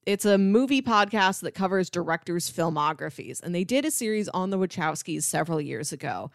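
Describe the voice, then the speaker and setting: A woman's voice: lowish voice, lively intonation, a little fast, vocal fry, no room sound, clean studio sound. A woman hosting a podcast, talking casually and enthusiastically about film with emphatic delivery. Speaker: podcast host.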